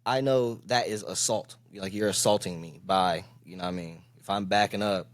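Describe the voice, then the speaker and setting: A man's voice: low-pitched, steady tone, normal speed, dry recording, studio quality. A young man from the DMV area co-hosting a podcast, talking in laid-back slang and laughing often. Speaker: podcast co-host. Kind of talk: casual podcast chat.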